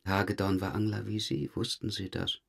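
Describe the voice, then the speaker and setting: A low, raspy police detective played by a male actor in a German crime drama. He questions in a dry, insistent way, pressing for answers with pointed remarks. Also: flat delivery, normal speed, full character voice. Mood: probing.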